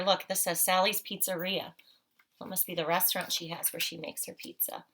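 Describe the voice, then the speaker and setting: A woman's voice: medium pitch, a bit bright, slight background noise. A woman teacher leading a read-aloud picture walk for children, explaining simply and asking frequent, encouraging questions.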